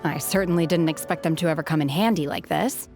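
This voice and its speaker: A woman playing an aloof, calm young woman in a video game, speaking in a composed, detached and formal way. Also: low-pitched, fast talker, music in the background. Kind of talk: restrained game voice acting.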